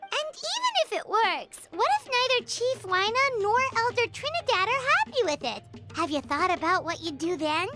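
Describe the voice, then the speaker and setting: Tiny excitable female game companion, very high and bouncy, game score behind. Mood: chatty. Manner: bubbly, quick to react and tease. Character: small chatty female travel companion, excitable. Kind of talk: video game dialogue. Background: game music.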